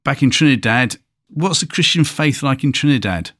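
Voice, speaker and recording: A man's voice: medium pitch, animated, moderate pace, a bit bright, no echo, studio quality. A man hosting an interview, prompting with curious questions. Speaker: host.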